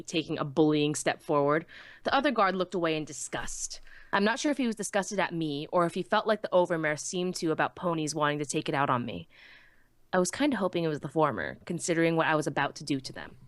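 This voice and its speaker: A woman's voice: low voice, fairly bright tone, no echo. A woman narrating a scripted first-person story dramatically, as in a fan audiobook.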